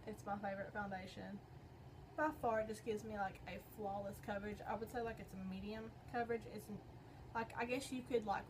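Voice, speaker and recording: Raspy-voiced chatty young woman. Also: medium pitch. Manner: rambling, chatty, lots of fillers. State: hoarse. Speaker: beauty vlogger. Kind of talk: casual makeup tutorial talk-through. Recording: noisy home recording.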